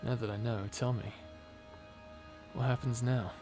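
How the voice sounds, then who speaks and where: A man voices a male space pirate captain in an intimate ASMR roleplay. He is flirty yet self-deprecating, hesitant and prone to nervous laughter. He speaks slowly at a middle pitch, with clear music behind him. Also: little pitch movement, lightly acted. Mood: earnest, soft.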